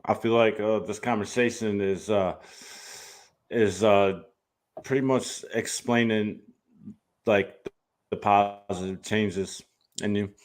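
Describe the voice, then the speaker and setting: Laid-back male host, low voice, hesitant and easygoing. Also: steady tone, takes it slowly, pauses often. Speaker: interviewer, podcast host. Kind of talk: casual interview talk.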